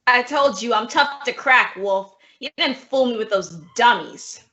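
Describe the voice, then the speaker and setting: A boastful suspect insists that nobody can crack him, played by a woman. The voice is bright and fairly high. It comes through a dull, lo-fi recording. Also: unhurried. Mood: defiant. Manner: defiant, boastful. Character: cocky male murder suspect.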